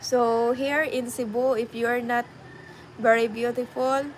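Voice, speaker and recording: A woman's voice: on the high side, flat delivery, unhurried, slight background noise, low-quality recording. A young adult woman with a Filipino accent, chatting casually to her viewers and switching between English and Tagalog. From Philippines. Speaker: vlogger.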